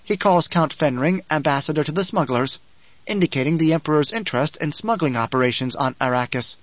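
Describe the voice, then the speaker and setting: A sly adviser, voiced by a male narrator, lays things out precisely in a slightly high male voice. The delivery is measured and smug, in audiobook style, on a very dry recording. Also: expressive, no echo, dull audio, lightly acted. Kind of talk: audiobook character acting.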